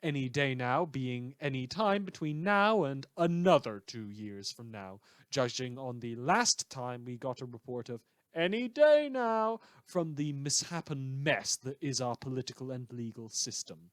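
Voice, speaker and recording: A man playing a sardonic, bitter radio news host in a fan audio drama, with theatrical, drawn-out emphatic phrasing. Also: mid-range voice, lively intonation, clear, resonant tone, dry recording, lightly acted. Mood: bitter, disillusioned. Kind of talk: scripted satirical radio news monologue.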